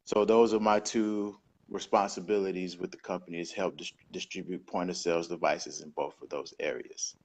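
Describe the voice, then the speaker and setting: Man, Southern accent, low voice, upbeat, easygoing, video call. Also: fairly even intonation, normal speed, hardly any pauses. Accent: Southern American. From Georgia, USA. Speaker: guest, crypto device distributor. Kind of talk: casual interview over video call.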